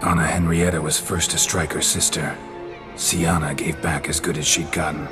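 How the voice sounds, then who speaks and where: A man playing a gruff, wry middle-aged monster hunter in a video game, with a gravelly voice and a terse, dry delivery. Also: extremely low pitch, level tone, moderate pace. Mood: flat reading aloud. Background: music.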